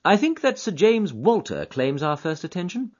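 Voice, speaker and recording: A man doing a keen high-voiced detective. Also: sing-song, darkish tone. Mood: eager. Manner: quick, emphatic, reasoning aloud. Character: brilliant eager male detective, keen and high-strung. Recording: dull lo-fi audiobook recording.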